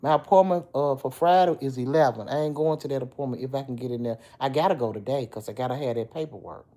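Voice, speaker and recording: A middle-aged woman with a deep, slightly hoarse voice, chatting casually on a livestream and answering commenters. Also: quick pace, mellow. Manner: chatty, talks back to commenters by name. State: a little hoarse. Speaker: livestream host. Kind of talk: casual livestream chat with viewers.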